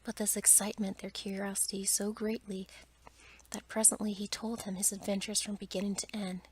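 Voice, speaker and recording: A woman storyteller reads a bedtime tale in ASMR style. Her voice is a breathy, husky whisper, kept soft and hushed, with careful phrasing. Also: mid-range voice, level tone, a little fast.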